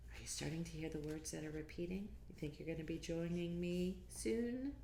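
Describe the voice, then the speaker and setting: A woman's voice: sits low, vocal fry, warm tone, echoey room, a little hiss or hum. A woman reading a picture book aloud to children in a sing-song, encouraging way, with asides to her young listeners. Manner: encouraging, interactive, drawn-out sing-song phrasing.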